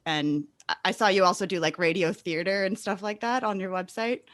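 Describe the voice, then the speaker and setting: A woman's voice: medium pitch, fairly even intonation, a little fast, fairly bright tone, dry recording. Enthusiastic woman hosting, dry close sound. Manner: enthusiastic, affirming. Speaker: podcast host interviewing a guest. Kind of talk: casual podcast interview.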